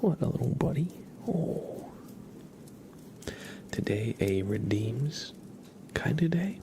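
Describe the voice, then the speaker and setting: A man hosting an ASMR livestream, chatting gently and casually in a soft, breathy voice with frequent pauses. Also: mid-range voice, big pitch swings, slight background noise. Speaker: livestream host.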